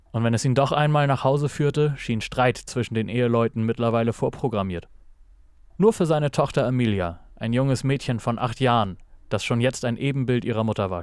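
A male narrator tells a noir detective story in a mid-range voice. His delivery is measured and dramatic, recorded close and dry with no room sound. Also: mid-range voice, a little mellow, no echo.

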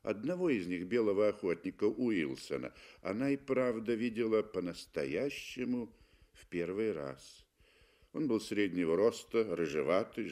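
A man narrates literary fiction in the manner of 1960s Soviet radio. His voice is low and his delivery slow. He shapes phrases expressively, with deliberate pauses. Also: darkish tone.

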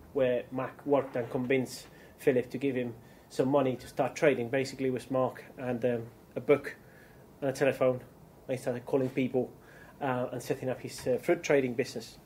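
A man's voice: medium pitch, darkish tone, a little hiss or hum. A man from Chile with a Chilean accent, giving a keynote business presentation with frequent ums and restarts. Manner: hesitant, many ums and restarts. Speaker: keynote speaker.

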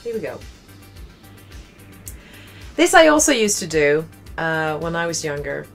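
A woman's voice: low-pitched, big pitch swings, a little slow, faint music behind. A young woman with a Swedish accent, casually commenting while playing a game, chatty and rambling with wry asides. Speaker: let's play host.

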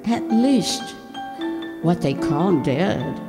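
Older woman as a slow, creaky undead stranger. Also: on the low side, lively intonation, exaggerated voice. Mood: ominous, knowing. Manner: deliberate, ominous, insistent. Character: eerie undead female stranger, knowing and menacing. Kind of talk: old-time radio mystery acting. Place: stage in a community hall, stand microphone. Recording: echoey hall, music over voice.

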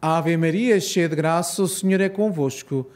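A man with a European Portuguese accent leading a rosary, reciting solemn prayers in a measured, reverent way. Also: on the high side, a little mellow.

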